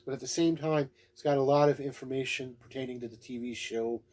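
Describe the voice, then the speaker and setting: A man's voice: medium pitch, steady tone, brisk, a little mellow. A man giving a casual, unscripted review in a folksy, plain-spoken, laid-back way. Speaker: tabletop game book reviewer.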